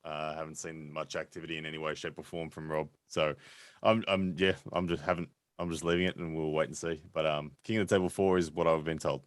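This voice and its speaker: Casting: extremely deep-voiced guest, hesitant, dry close sound. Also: male voice, little pitch movement, fast talker, no echo. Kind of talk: casual chat.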